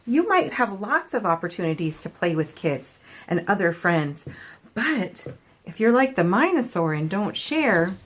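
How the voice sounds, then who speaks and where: A woman's voice: mid-range voice, very animated, unhurried. A woman hosting a children's storytime, reading a picture book aloud expressively and chatting with a child. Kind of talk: animated read-aloud for children.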